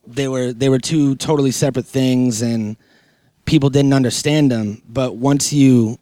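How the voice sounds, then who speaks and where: A man's voice: medium pitch, steady tone, moderate pace, dark tone, dry recording, clean studio sound. A man chatting casually and informally on a podcast, using slang and plenty of filler phrases. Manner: informal, slangy, peppered with "you know".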